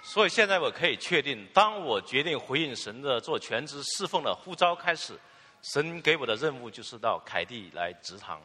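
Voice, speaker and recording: Male sermon interpreter with a bright, animated voice, filler particles between phrases, echoing in a long-reverb church hall. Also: mid-range voice, fairly quick, breathy edge. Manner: fluent, with filler particles and restarts.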